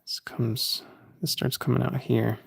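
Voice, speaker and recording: A man's voice: mid-range voice, flat delivery, a little slow, creaky, background noise. A man live-streaming himself drawing. He mutters self-critical asides as he works, drops at times to a whisper, and answers viewers' chat. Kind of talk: casual running commentary while drawing.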